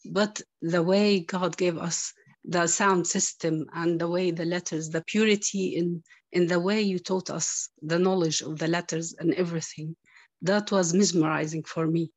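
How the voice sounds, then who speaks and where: A woman's voice: fairly low pitch, fairly even intonation, moderate pace, no echo. A woman, native Arabic speaker with an Arabic accent, giving a heartfelt informal thanks as a student on a video call.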